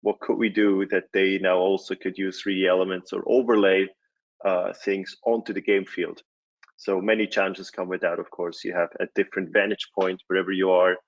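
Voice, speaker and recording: A man from Switzerland gives a relaxed conference talk, pausing on fillers and restarting his sentences. His voice sits very low and stays flat, and the sound is dull and lo-fi, as over a video call. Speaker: conference speaker, company CEO. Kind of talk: presentation talk.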